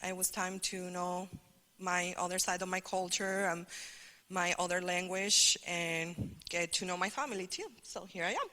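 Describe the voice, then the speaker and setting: A woman's voice: fairly low pitch, moderate pace, pushed and bright. A woman from southern Spain, a native Spanish speaker with a Spanish accent, introducing herself to a group in a chatty, joking way. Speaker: college academic advisor. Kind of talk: informal self-introduction to a group. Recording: reverberant hall.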